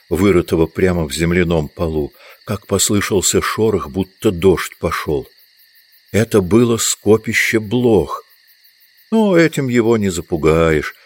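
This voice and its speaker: A man reading scripted literary narration as the narrator of an audio drama. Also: sits low.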